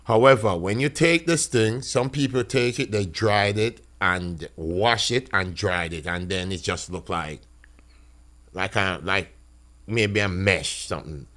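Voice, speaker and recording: A man, a chef talking as a podcast guest, animated, his voice jumping high when he gets excited. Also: unhurried. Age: 50s. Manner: animated, big pitch jumps when excited. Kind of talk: casual interview talk. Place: small podcast studio. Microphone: studio boom mic, close.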